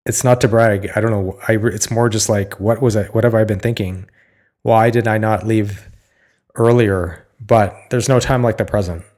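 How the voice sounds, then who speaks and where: A slightly hoarse man talking candidly in a solo podcast, weary and confessional, halting often to restart his thoughts. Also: fairly low pitch, steady tone, a little mellow. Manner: halting, searching, with many restarts and fillers. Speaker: podcast host.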